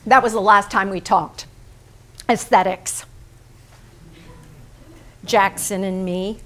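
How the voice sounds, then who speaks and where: A woman playing a needling, self-lacerating painter in a theatrical staged reading, deliberate and pointed. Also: medium pitch, fairly even intonation, a bit bright. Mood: controlled, wry.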